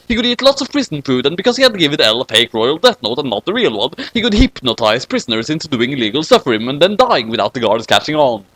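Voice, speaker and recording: A man's voice: on the high side, fairly bright tone, no room sound. A man narrating fan fiction as a dramatic comic reading, theatrical and exaggerated.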